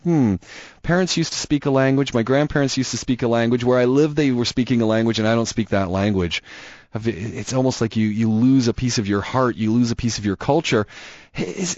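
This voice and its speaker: A man's voice: on the high side, darkish tone, no echo, highs cut off. A man hosting a radio call-in show, musing aloud, repeating words and asking listeners many questions.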